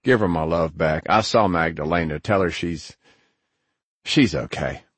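A man's voice: sits low, measured pace, slightly rough, no room sound. A man voicing a middle-aged, jaded male antihero in an audiobook, trading sardonic, profane banter. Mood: subdued.

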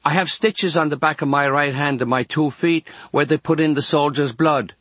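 A man reads as an earnest Irish boy of ten in a storybook. The answers are simple and matter-of-fact, pitched a little high. The recording is close and dry. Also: moderate pace, fairly bright tone, no echo. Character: earnest, plain-spoken ten-year-old Irish boy patient.

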